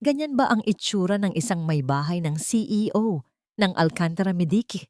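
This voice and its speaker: A woman's voice: on the low side, expressive, normal speed, darkish tone, dry recording, clean studio sound, natural voice. A woman narrator voicing a haughty, wealthy older Filipina mother-in-law with a disdainful manner in a dramatic story. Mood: condescending.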